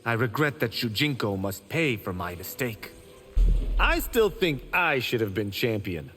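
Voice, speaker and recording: A man's voice: medium pitch, very animated, a little slow, bright, forceful tone, faint music behind. An actor playing a cocky, boastful young male martial arts fighter in video game dialogue. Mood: chastened. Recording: reverberant hall, background ambience noise.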